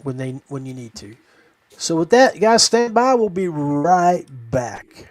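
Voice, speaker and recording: A man with a slightly high voice hosts a casual podcast. He talks informally and haltingly, off the cuff. The sound is dry, with little room in it. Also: big pitch swings, dry recording. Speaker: podcast host. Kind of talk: casual unscripted podcast chat.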